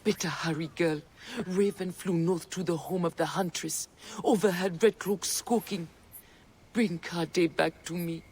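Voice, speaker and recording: Game role: elderly grieving peasant woman, raspy, unhurried, grave storyteller with a bitter edge. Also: lowish voice, steady tone, a little mellow. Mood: urgent pleading. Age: older. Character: anguished old Siwan farm woman, bitter eyewitness, nearly blind. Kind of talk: dramatic video game acting.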